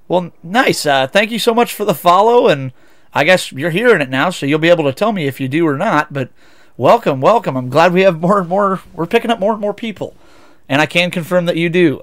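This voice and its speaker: Young midwest guy on a game stream, kinda high voice, rambly, keeps fixing his words. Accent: Midwestern American. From United States. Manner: chatty, rambling, self-correcting. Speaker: VTuber streamer. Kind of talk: casual game-stream chat with viewers.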